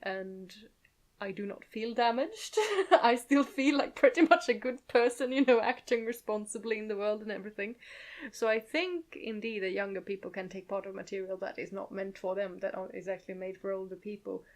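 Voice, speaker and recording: Woman, podcast co-host, casual discussion, thoughtful, hedging, mid pitch. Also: moderate pace. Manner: thoughtful, hedging, frequent agreeing murmurs.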